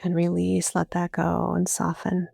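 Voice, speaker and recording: A woman leads a guided relaxation at a very slow pace. Her voice sits a little low, with plenty of creak at the edges. She gives calm, soothing instructions in short phrases and leaves space between them. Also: no room sound, studio quality. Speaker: yoga nidra teacher.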